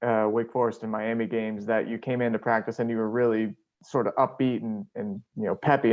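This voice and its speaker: A man asking a question as a sports reporter on a conference call, halting and rephrasing as he goes. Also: medium pitch. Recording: dull conference call line.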